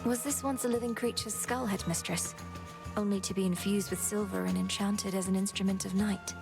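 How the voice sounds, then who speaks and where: A determined young witch princess, played by a woman, earnest and formal. Her mid-pitched voice has a creaky edge, with game music behind it. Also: fairly even intonation, a little fast, lightly acted. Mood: curious. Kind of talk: video game voice acting.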